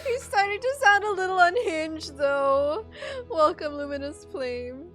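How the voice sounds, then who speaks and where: A woman's voice: very high voice, measured pace, fairly bright tone. A woman streaming a game and reacting casually to the story as she plays. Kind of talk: casual live playthrough reactions. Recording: faint game music behind. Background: game music and dialogue.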